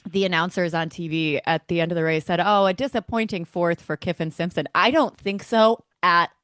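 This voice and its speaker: A woman's voice: fairly low pitch, brisk, a bit bright, clear, resonant tone, no room sound, studio quality. A woman co-hosting a motorsport podcast, talking through a race in an enthusiastic, conversational way. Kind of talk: casual race recap chat.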